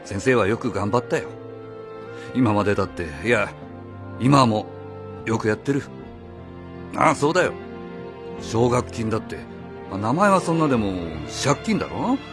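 An actor plays a middle-aged father, easygoing and kind, with a very expressive, swooping delivery. He is blunt and gently teasing, in a radio drama with music clearly behind him. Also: mid-range voice, moderate pace, long pauses, natural voice. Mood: tender encouragement. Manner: casual, blunt, familiar. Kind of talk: Japanese radio drama acting.

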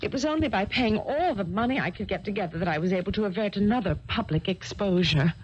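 A woman's voice: medium pitch, expressive, close to an everyday voice. Actress doing worried proper young english lady in an old radio mystery, earnest, kinda muffled and noisy recording. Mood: anxious, insistent. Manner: earnest, formal, halting phrasing. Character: distressed young English heiress, earnest, fearful and genteel. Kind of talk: 1970s radio drama acting.

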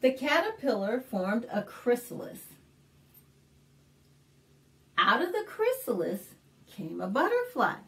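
A middle-aged American woman hosting a storytime, reading a picture book aloud to young children in an expressive, deliberate way with simple explanations. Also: a little high. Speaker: children's librarian and storytime host. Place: small indoor room, plain backdrop.

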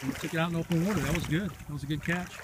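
Middle-aged fishing host, very noisy outdoor audio. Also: male voice, a little high, steady tone, racing. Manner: repeats short phrases, encouraging. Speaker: fishing vlog host filming. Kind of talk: casual running commentary while fishing. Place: outdoors on a grassy lake shore. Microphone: handheld camera mic.

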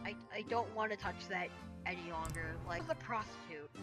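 A woman's voice: fairly low pitch, moderate pace, music in the background. A young woman chatting over a game, blunt and cheeky, firing nosy questions at her teammate. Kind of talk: casual gaming chat.

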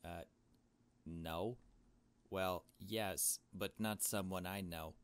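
A man's voice: low-pitched, steady tone, very slow, smooth. A man voicing a kind, earnest, mild-mannered girl in a visual novel, hesitant and reassuring.

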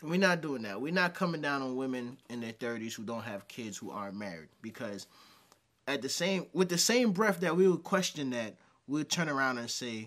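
A man's voice: medium pitch, fairly bright tone. A man in his thirties giving casual, emphatic reaction commentary, repeating phrases for emphasis. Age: 30s. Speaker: reaction video host. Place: small dim indoor room.

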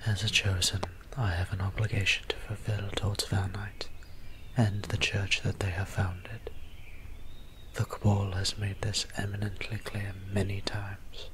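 A man playing a soft-spoken, melancholy vampire priest in an ASMR roleplay, musing in formal, old-fashioned words with a breathy near-whisper. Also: lowish voice, little pitch movement, unhurried, noisy background, natural voice. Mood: brooding, self-reproaching. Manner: formal, archaic, musing diction.

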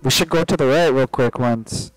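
A man's voice: mid-range voice, moderate pace, dry recording, poor audio. A man bantering casually during a co-op game, playful, foul-mouthed and prone to exclaiming. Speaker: gaming channel player and host. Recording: game music behind the voice. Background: video game music.

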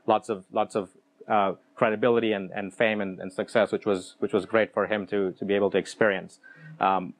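Man giving a keynote on a handheld mic. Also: on the low side. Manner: explanatory, frequent restarts and repeated words. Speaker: keynote speaker. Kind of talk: conference keynote talk. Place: conference room with seated audience. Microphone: handheld microphone.

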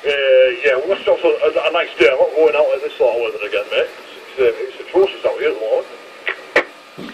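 A man's voice: medium pitch, a little fast. A man chatting chummily and a little hesitantly through a handheld two-way radio. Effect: heard through a two-way radio. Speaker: amateur radio operator. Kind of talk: casual two-way radio chat. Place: outdoors, walking along a street. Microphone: handheld transceiver. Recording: dull, noisy handheld radio transmission.

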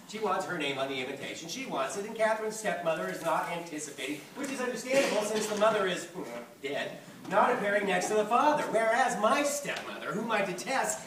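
A man's voice: sits high, noisy background. A man playing a flustered, frantic bridegroom venting in a comic stage monologue, exasperated and dramatic. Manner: exasperated, dramatic, voicing both sides of quarrels. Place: theatre stage before an audience. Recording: echoey theatre, distant mic, audience laughter.

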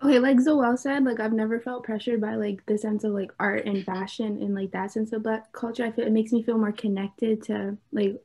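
A teenage girl talking through a laptop webcam on a video call. Her voice sits a little high, and she speaks casually with a stream of fillers. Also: level tone, a little fast, few pauses, a little mellow. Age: teens. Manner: chatty, many "like" fillers. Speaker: panel moderator, club co-lead. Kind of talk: casual student panel discussion.

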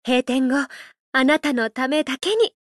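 A woman voicing a gentle, playful idol who loves a drink, speaking politely and teasingly in a mobile game. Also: sits high, no room sound, studio quality. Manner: polite, unhurried, teasing, softly flirtatious. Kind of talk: Japanese mobile game character voice lines.

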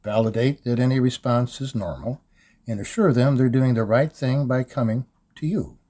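Mellow man narrating instructional material. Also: medium pitch. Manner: measured, instructional delivery. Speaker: voice-over narrator reading a demo. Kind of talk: scripted e-learning narration.

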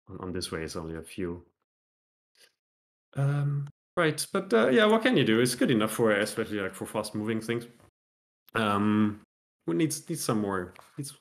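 Low gravelly-voiced man giving a casual tech talk. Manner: relaxed, self-deprecating, many fillers and restarts. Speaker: software developer presenting a talk. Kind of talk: casual technical meetup talk with live demo. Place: home room on a video call.

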